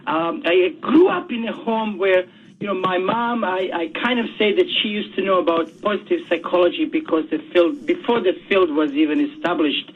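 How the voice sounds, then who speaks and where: A high-pitched man talks as a guest on a radio show, stopping and repeating words as he searches for them. His voice has a husky edge. It comes through a thin, tinny phone line. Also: moderate pace. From Bosnia. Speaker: author guest.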